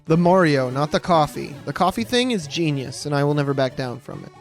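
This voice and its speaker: A man's voice: fairly high pitch, fairly quick, soft background music. A man in his late twenties rambles while streaming a game as host, swearing freely and poking fun at himself. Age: late 20s. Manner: rambling, profane and self-mocking. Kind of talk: casual gameplay commentary.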